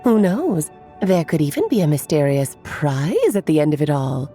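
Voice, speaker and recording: A woman's voice: deep voice, big pitch swings, moderate pace, dark tone. A woman playing an elegant, playful adult witch who speaks in an airy, teasing, coy way in a video game cutscene. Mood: playful, breezy. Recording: faint music behind the voice.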